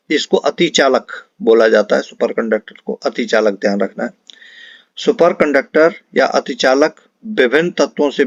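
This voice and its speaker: A man's voice: medium pitch, dry recording. Man teaching, dry slightly dull recording. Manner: instructive, repeats questions and answers for emphasis. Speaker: teacher for an exam-prep class. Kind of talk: explanatory quiz lesson, talking to students.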